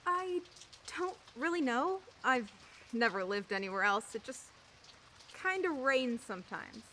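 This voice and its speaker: An actress playing a curious young woman neighbor who asks lively questions. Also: a little high, a little slow, a little hiss or hum, exaggerated voice. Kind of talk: radio play acting.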